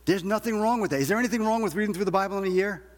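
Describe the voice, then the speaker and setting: A man's voice: sits high, racing. A man preaching a sermon to a congregation as a preacher, emphatic, repeating phrases and asking rhetorical questions. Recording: long hall reverb.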